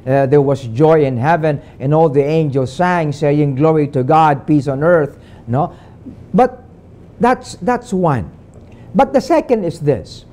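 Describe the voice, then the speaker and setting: An older man from the Philippines with a Filipino accent, preaching on television and moving between English and Tagalog with a measured preaching cadence. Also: fairly high pitch, mellow. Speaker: pastor. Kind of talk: televised sermon. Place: small studio set indoors. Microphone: lapel.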